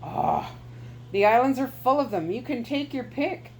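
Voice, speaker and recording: A gruff older island boatman, dry and muttering, as a woman reader puts him on. He is voiced lightly, close to her own voice. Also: very high voice, animated, a little slow, slight background noise, pitched above the performer's own voice, heavily acted, low-quality recording.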